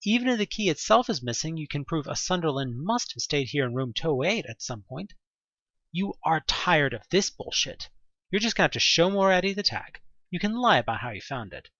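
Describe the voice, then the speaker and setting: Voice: mid-range voice, very animated, brisk, a bit bright, dry recording, lo-fi sound. A narrator reading a scripted second-person story for an audio drama.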